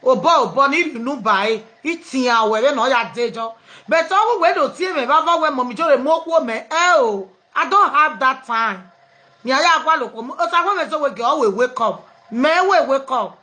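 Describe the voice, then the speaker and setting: High-voiced Nigerian woman hosting live. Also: animated, a little fast, bright, forceful tone. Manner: mixes pidgin, English and local languages, laughing easily. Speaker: host of an online live program. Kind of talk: casual live chat with callers.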